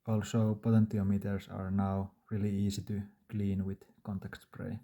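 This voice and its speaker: A man's voice: lowish voice, completely flat delivery, moderate pace, dark tone. A man narrating a do-it-yourself repair tutorial in a plain, matter-of-fact, step-by-step way. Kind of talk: instructional DIY narration.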